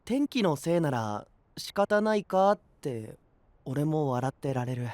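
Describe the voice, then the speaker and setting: A man's voice: a little high, sing-song, measured pace, darkish tone, no echo. An actor playing an easygoing, friendly young man with a light, casual manner in an anime audio drama. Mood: wistful, resigned.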